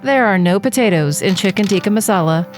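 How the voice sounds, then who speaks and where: A woman's voice: mid-range voice, expressive, brisk, music in the background, lightly acted. An actress playing an unimpressed, nagging wife and mother, plainspoken and matter-of-fact, in an audio horror drama. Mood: exasperated, patient. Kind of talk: audio horror anthology acting.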